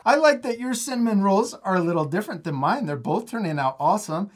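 A man's voice: sits high, animated, fast talker. A man in his thirties hosts a children's drawing lesson. He talks casually, step by step, with an encouraging manner and frequent chuckles. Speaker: drawing instructor and host. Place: indoor studio, at a table.

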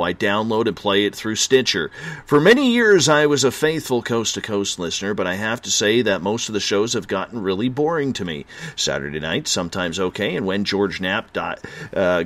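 Canadian male radio host, laid-back banter, bright voice, dry close mic. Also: fairly low pitch, no room sound. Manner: laid-back, joking, says "man" a lot. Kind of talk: casual late-night radio talk.

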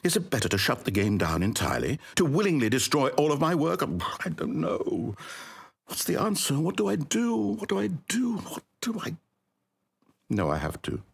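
A fussy, self-important British storyteller narrating a video game. His pitch swings dramatically through theatrical, articulate phrases, and the voice has a husky edge. He is wry and needy in equal measure. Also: wildly swooping pitch, normal speed, heavily acted. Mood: despairing.

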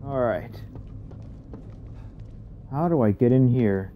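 A man's voice: mid-range voice, lively intonation, slow, narrow, tinny audio, slight background noise. A man casually commenting on a horror game as he plays it, thinking aloud in murmured asides. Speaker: gaming channel host. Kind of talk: casual let's play commentary.

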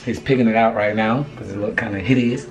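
A man in his thirties, hoarse from shouting, talking loosely to a handheld phone camera in his apartment and car, with lots of slang and "you feel me". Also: lowish voice, steady tone, fast, over music, low-quality recording. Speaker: family vlogger. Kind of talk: casual vlog talk to camera. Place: apartment hallway indoors, then parked car. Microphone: handheld phone camera at arm's length.

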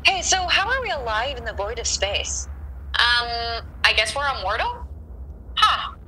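A woman's voice: on the high side, big pitch swings, measured pace, sharp tone, a little hiss or hum, heavily acted, poor audio. An actress voicing a foul-mouthed, gossiping young woman with a valley-girl manner in a comedy radio play. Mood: catty. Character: catty gossiping young woman floating in space.

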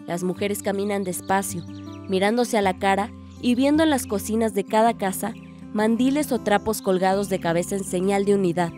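An actress playing a hopeful young village woman, narrating a radio drama in an earnest, measured way. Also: mid-range voice, darkish tone, natural voice. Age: young adult.

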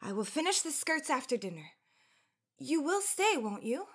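Young 1910s college woman, earnest, proud, higher-pitched, careful formal elocution, radio drama. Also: sing-song, moderate pace, a bit bright, heavily acted. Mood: gracious. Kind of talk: period radio drama acting.

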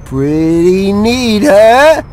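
A man voicing a proud, chummy male golf enthusiast in a game, unhurried in manner. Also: high voice, sing-song, a little mellow, a little hiss or hum, heavily acted. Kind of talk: scripted game cutscene dialogue.

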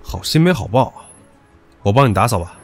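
A man's voice: medium pitch, lively intonation, brisk, soft background music, close to an everyday voice. An actor in an audio drama playing a pushy, teasing young man who talks crudely and with swagger.

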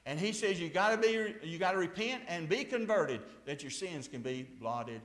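A man in his sixties preaching a fiery sermon at a church pulpit, swinging from hushed tones to shouts. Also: sits high, expressive, normal speed. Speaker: pastor. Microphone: lapel mic, far camera. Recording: echoey church sanctuary.

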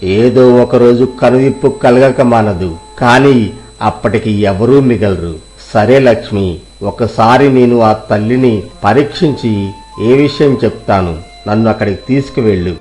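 A man voicing a wise elder village physician in a moral storybook tale, giving measured, paternal advice. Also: medium pitch, darkish tone, soft background music, natural voice. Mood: grave, advising.

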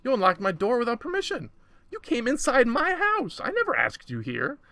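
A man's voice: on the high side, very animated, moderate pace, no room sound. A man reading as a scornful, cruel woman in a storybook, indignant and scolding. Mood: scolding, defiant.

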